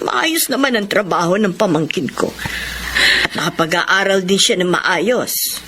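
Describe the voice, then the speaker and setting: A protective older sister lectures her sibling, her pitch rising and falling with feeling. A faint hiss of background ambience sits behind her. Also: lively intonation, measured pace. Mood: scolding. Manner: scolding, insistent, emotional. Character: outspoken older sister, worried and scolding. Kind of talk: radio drama acting.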